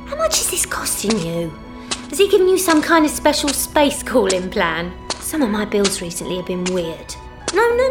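A middle-aged woman playing a chatty, fussing working-class mum in an audio drama, gossipy and breezy. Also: high voice. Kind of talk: audio drama monologue acting.

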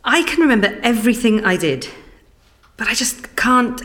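An actress playing a halting, apologetic witness giving testimony at an inquiry in an audio drama. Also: female voice, a little high, big pitch swings, a bit bright. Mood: uneasy, apologetic.

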